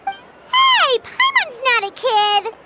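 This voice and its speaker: A woman voicing a tiny, chirpy, curious fairy companion with a squeaky childlike voice in a video game. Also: huge pitch swings, very slow, heavy background noise, exaggerated voice. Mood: indignant. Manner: refers to self in third person, exclamatory. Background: music.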